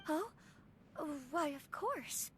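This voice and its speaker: A refined young noblewoman from a video game. She is polite and attentive, with a very high, animated voice over a noisy, rumbling background. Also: very slow, heavily acted. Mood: intrigued, gracious. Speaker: game voice actor. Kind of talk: English-dubbed video game dialogue.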